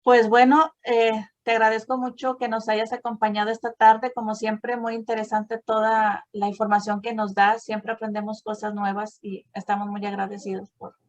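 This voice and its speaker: A woman with a somewhat high voice hosts a talk. Her intonation stays very even as she explains in a conversational, encouraging way, often affirming what is said. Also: normal speed, hardly any pauses. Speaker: host. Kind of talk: conversational explaining, supportive interjections.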